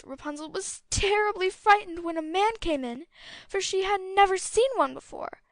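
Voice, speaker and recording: Woman reading a fairy tale audiobook, pretty high voice, very dry studio sound. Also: a bit bright, no room sound. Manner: clear storytelling delivery. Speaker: audiobook narrator. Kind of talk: scripted fairy-tale narration.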